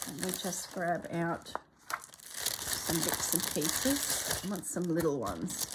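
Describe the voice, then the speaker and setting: A woman narrating her crafting work in a soft, relaxed voice, sometimes dropping to a whisper, congested and clearing her throat. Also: lowish voice, slight background noise, poor audio. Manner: soft-spoken, often drops to a whisper while working. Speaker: craft video maker.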